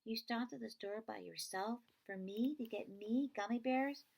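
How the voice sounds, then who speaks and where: A woman reading a children's novel aloud, voicing a feisty, quick-tempered girl who is earnest and insistent with her friend. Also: a little high, gravelly, darkish tone, voice pushed up. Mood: encouraging.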